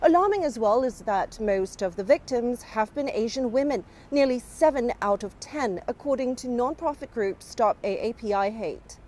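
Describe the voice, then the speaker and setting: A woman news correspondent reporting from city streets in a measured, formal broadcast delivery. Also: a little high, expressive, darkish tone, slight background noise.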